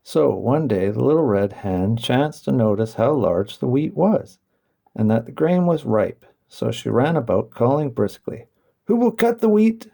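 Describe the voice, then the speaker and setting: A man's voice: mid-range voice, lively intonation, unhurried, creaky, mellow. A man narrating a children's bedtime story from a script, as in an audiobook.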